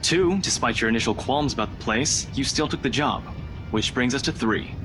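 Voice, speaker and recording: A man's voice: mid-range voice, normal speed, over music, natural voice. A man playing a laid-back young investigator in a visual novel, casually and smugly teasing a friend.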